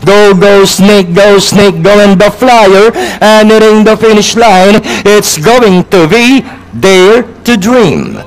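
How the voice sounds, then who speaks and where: A male horse racing commentator with a notably high voice. He delivers running play-by-play, listing positions and repeating runners' names as he goes. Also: expressive, slow, few pauses, poor audio. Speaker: horse race caller. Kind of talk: live race commentary.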